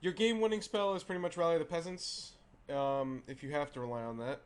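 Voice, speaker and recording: A man who is feeling unwell, with a raspy voice, hosting a casual card game show and talking through a deck with many fillers and restarts. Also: a little high, steady tone, brisk. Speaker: host.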